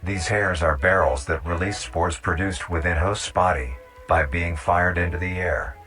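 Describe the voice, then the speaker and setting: A man delivering measured, instructional narration in the scripted style of a nature documentary. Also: sits low, pushed and bright. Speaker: narrator of a horror nature-guide video. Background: music.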